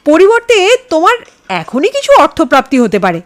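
Woman playing a high-pitched, breezy, cocky man. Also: huge pitch swings. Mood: confident, scheming. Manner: brisk, breezy, patronising. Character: cocky, amused male visitor spinning tall tales. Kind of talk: dramatised audio horror story.

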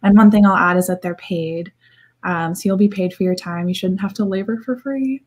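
A slightly raspy, congested-sounding woman answering questions informally as a recruiting manager on a video-call panel. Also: mid-range voice, normal speed, a little mellow. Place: indoor room, video call.

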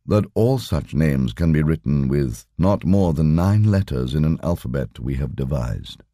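An imperturbable lama , acted out by a male audiobook reader, speaks with formal patience. His voice is low and measured, recorded dry and close. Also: lively intonation, dark tone, no room sound, exaggerated voice. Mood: calm, explanatory.

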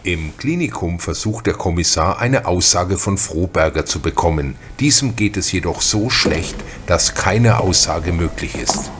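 A man narrating a radio crime drama in a measured, matter-of-fact way. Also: deep voice, animated, background noise. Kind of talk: scripted narration. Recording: narration over music.

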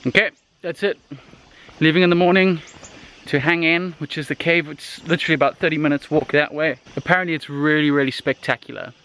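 Excited man vlogging at arm's length. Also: fairly high pitch, brisk, slight background noise. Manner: enthusiastic, excited, talks straight to handheld camera. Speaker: travel vlogger. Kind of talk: casual travel vlog. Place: outdoors in jungle and inside a huge cave. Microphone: handheld camera at arm's length.

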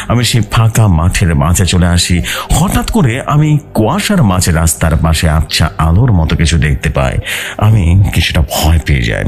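A man's voice: lowish voice, darkish tone. A man narrating a scripted first-person ghost story.

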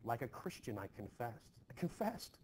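A man playing a stern, troubled, short-tempered Puritan farmer in an expressive play reading, with forceful archaic phrasing. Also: medium pitch, moderate pace, close to an everyday voice. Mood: indignant. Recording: noisy home recording.

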